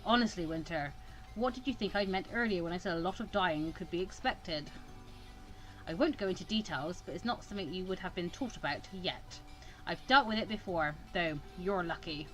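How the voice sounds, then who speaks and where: A woman's voice: lowish voice, moderate pace, soft background music, close to an everyday voice, poor audio. A woman voicing a stern older male mentor in a visual novel, dry and instructive.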